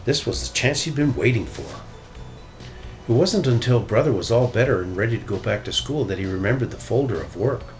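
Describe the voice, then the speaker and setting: A man narrating a children's story in an expressive read-aloud style. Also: mid-range voice, brisk, soft background music, poor audio. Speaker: storybook reader.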